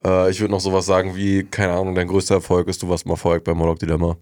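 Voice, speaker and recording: A man's voice: deep voice, monotone, quick pace, darkish tone. A young man co-hosting a radio show with casual, blunt, slangy banter sprinkled with English words.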